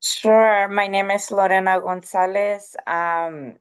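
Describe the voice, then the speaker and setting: A woman's voice: mid-range voice, steady tone, slow pace, smooth, no echo. A middle-aged woman from the Bay Area, a college counselor, talking as a guest over a call, chatty and laughing often. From San Francisco Bay Area. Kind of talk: casual talk on a call-in show. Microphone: phone or online call.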